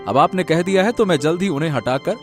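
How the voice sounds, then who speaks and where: A man acts an earnest factory manager in a cartoon moral tale. He talks deferentially and respectfully, with a lively, slightly high voice. Music runs beneath his explanations. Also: fast, natural voice. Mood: agreeable. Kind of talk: cartoon moral-story voice acting. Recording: music behind the voice.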